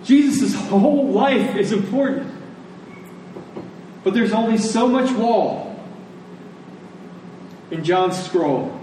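A man preaching a sermon in a conversational, deliberate way, telling stories and explaining scripture, pausing and repeating phrases for emphasis. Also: high voice, lively intonation, mellow. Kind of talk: sermon with storytelling and scripture exposition. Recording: echoey room, distant mic, faint noise.

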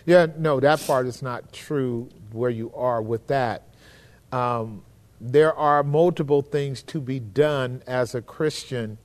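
A man's voice: medium pitch, slow. A man leading a church Bible study, teaching in an earnest, emphatic preacherly way.

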